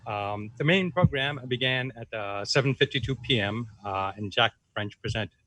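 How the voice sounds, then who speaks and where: A man reading out minutes as a club secretary on a video call, in a halting manner. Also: mid-range voice, a bit bright, dry recording, rough recording. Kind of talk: reading minutes at an online meeting.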